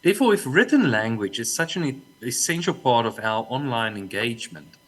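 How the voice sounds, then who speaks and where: A man's voice: mid-range voice, very animated, fairly quick, a bit bright. A man from South Africa, a native Afrikaans speaker with a South African accent, giving a remote conference talk, often restarting and repeating words, with dry humor. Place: remote talk, not in the hall.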